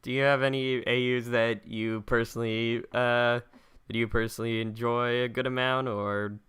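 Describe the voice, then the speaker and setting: A man hosting a podcast and casually reading out questions in a halting, hesitant way, often repeating words. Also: medium pitch, level tone, a bit bright, clean tone. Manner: halting, hesitant, stammering repetitions.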